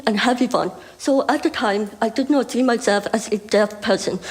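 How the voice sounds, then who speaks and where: A woman's voice: on the high side, darkish tone, poor audio. Young woman, careful hard-of-hearing speech. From Burma. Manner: careful, deliberate delivery with small restarts. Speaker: conference speaker. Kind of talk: prepared speech.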